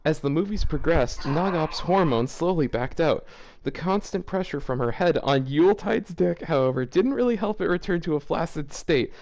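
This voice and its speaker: A man's voice: a little high, normal speed, few pauses, rough recording. A young man reading a fanfiction story aloud, breaking off to laugh and react. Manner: laughs often, animated reactions. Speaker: fanfiction reader. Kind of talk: comedic reading aloud with commentary.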